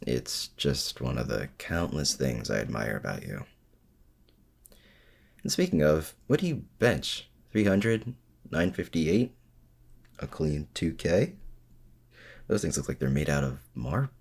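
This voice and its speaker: A man playing a feared but self-doubting supervillain, confiding casually and haltingly in a heroic rival in an intimate ASMR roleplay. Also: big pitch swings, full character voice. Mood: playful, admiring. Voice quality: very deep, gravelly. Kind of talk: intimate ASMR roleplay audio drama, addressing the listener.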